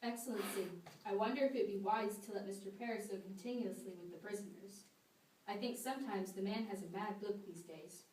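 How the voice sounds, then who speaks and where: A suspicious colonial magistrate acted by a woman, formal and deferential, in a medium-pitched voice. The amateur play is heard from afar in an echoey space. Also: fairly quick, darkish tone, a little hiss or hum, lightly acted. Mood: uneasy, suspicious. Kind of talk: amateur stage-play acting. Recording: echoey room, distant mic.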